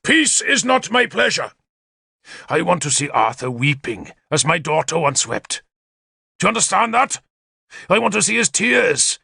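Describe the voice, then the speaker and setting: A man's voice: fairly high pitch, animated, normal speed, gravelly, bright, forceful tone, breathy edge, dry recording, studio quality, pitched above the performer's own voice, full character voice. A man voicing an imperious, vengeful warlord king in a menacing, declamatory manner, as in an audiobook. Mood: furious.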